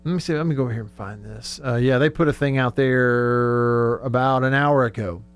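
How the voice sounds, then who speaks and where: A man's voice: mid-range voice, moderate pace, clear, resonant tone. A man hosting a casual sports radio show, conversational and thinking aloud as he goes.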